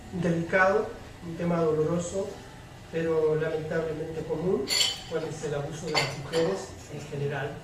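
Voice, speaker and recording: Guy moderating a panel, halting careful phrasing, really echoey distant recording with noise behind. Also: a little high. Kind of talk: semi-formal spoken introduction and moderation of a public panel. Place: public debate room with audience. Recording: very echoey hall, distant mic, clear noise.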